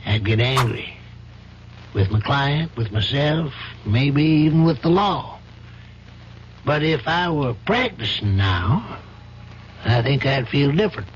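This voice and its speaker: An actor playing an older, compassionate judge in an old-time radio drama, reasoning in a measured, probing, paternal manner. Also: male voice, mid-range voice, expressive. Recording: dull, faintly noisy old radio recording.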